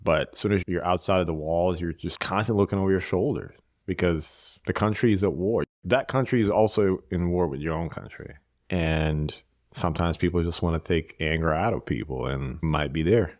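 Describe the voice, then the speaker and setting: Deep-voiced man, measured and reflective. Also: a little mellow, no room sound, studio quality. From Bosnia. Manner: measured, repeats key phrases. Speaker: guest. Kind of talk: reflective interview conversation.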